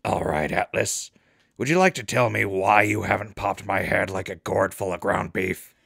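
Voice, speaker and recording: A man playing a wry wasteland radio host in an audio drama, dry in manner, with a gravelly voice. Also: low-pitched, moderate pace, a bit bright, heavily acted. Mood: sardonic, wary.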